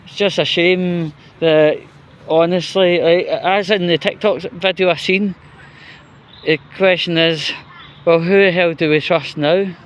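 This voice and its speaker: A Scottish man giving unscripted solo vlog commentary in a halting, hesitant, rambling way. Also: high voice, fairly even intonation, clean tone. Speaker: vlogger.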